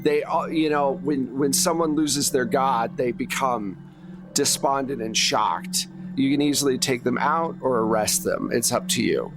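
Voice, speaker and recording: A man running a tabletop horror game, improvising the narration in short phrases with pauses between them. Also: mid-range voice. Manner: pausing, piecing out sentences in short phrases. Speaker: game master of a tabletop actual play. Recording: music playing under the voice. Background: music.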